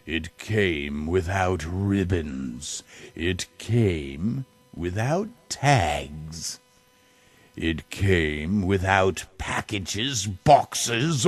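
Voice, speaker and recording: A man reads a children's story in a theatrical way, drawing out his phrases. His fairly low voice swings widely in pitch and swells for dramatic effect. The delivery is extremely slow. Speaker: storybook reader.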